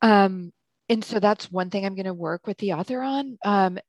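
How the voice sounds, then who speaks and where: A woman's voice: medium pitch, moderate pace, dry recording. A woman who edits books and coaches writers, speaking in a slightly Hungarian-tinged English, answering thoughtfully with frequent ums and self-corrections. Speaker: book editor and writing coach. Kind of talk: conversational interview answer.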